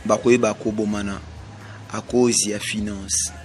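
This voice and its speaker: A man from Congo with a Congolese French accent, delivering a slow, repetitive prophetic sermon. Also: lowish voice, level tone, soft background music. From Congo (DRC). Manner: slow, repetitive, emphatic pronouncements. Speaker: self-styled prophet.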